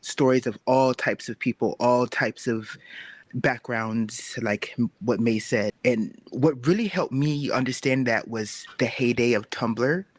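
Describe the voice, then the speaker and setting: A man talking casually and reflectively as a guest creator on a panel, pausing to find his words. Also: medium pitch, no echo.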